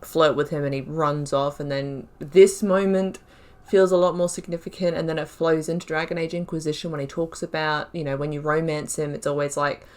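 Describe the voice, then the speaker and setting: A woman's voice: sits low, fairly even intonation, brisk. A woman hosting a gaming video, thinking aloud in casual, informal, wry commentary as she talks through the story.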